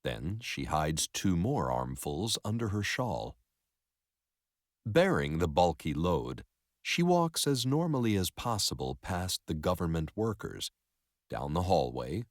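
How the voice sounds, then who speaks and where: A man narrating a story in an expressive educational read-aloud style. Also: deep voice, a little slow, no echo, studio quality.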